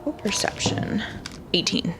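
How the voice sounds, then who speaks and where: A woman's voice: unhurried, background noise. A woman player in a tabletop game, thinking aloud and describing her moves hesitantly. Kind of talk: casual tabletop play. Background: music score.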